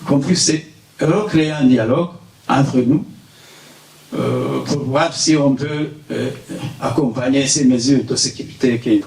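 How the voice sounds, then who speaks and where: A man's voice: mid-range voice, measured pace, gravelly, a little mellow. A man from Burundi speaking at a press conference, earnest and hesitant with many fillers. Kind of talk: unscripted remarks at a press conference. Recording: echoey room, distant mic, faint hiss.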